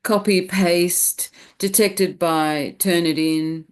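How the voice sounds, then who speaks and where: A woman speaks on a video call as a panelist. Her voice is smooth and clear, a little low for a woman, and she talks slowly and thoughtfully. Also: fairly even intonation. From Australia. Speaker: panelist, academic integrity officer. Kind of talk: panel discussion.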